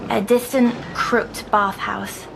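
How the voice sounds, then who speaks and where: A woman playing a timid, dreamy young girl patient who recounts her nightmares in a hushed audio drama. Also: medium pitch, steady tone, takes it slowly, gravelly, noisy background. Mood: hushed wonder.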